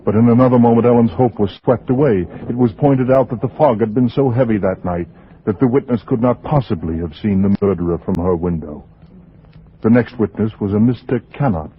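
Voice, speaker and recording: An actor playing a grave narrator, delivering solemn, tense 1940s radio suspense narration. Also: male voice, lowish voice, a little fast, slight background noise, natural voice. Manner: dramatic, solemn, building tension. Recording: muffled 1940s radio with loud music.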